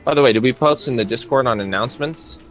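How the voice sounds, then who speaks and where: A man with a mid-pitched voice hosts a livestream in loose, informal chat. Music plays clearly behind his voice. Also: fast. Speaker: livestream host.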